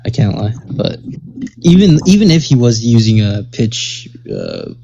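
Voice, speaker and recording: A relaxed man chiming in on a live-stream debate panel. He fills his speech with "like" and "I mean". The audio is dull, with a faint hiss behind it. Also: mid-range voice, a little slow, gravelly. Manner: laid-back, agreeable, filler-heavy. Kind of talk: casual live-stream chat.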